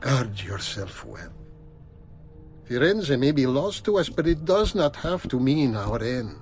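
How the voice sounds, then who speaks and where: An actor voicing an anxious conspirator in a video game, reading in a formal, solemn and measured way. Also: male voice, medium pitch, very animated, darkish tone, faint music behind. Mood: grave, worried.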